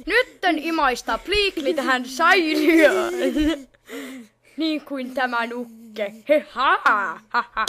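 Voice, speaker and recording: A woman's voice: high voice, crowd noise, close to an everyday voice. A woman voicing a small, grumpy, mischievous alien creature with grunts and exclamations, in an amateur cartoon fandub. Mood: gloating.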